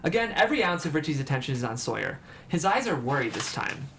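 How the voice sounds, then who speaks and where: A man's voice: fairly high pitch, very animated, fast. A man reading scripted stage directions as the narrator of a table read. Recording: clear background noise.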